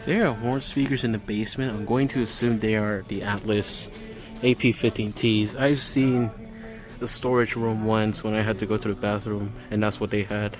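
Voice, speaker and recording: A man's voice: fairly low pitch, little pitch movement, normal speed. A man giving casual, unscripted tour commentary as a vlogger, with observational, technical asides. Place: inside retail stores, later outside. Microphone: handheld camera. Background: faint store music.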